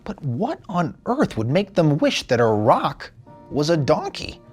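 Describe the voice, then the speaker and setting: Animated man reading a storybook, swooping pitch. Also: husky, faint music behind. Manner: theatrical, dramatic phrasing and pauses. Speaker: storybook reader. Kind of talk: expressive scripted storybook narration.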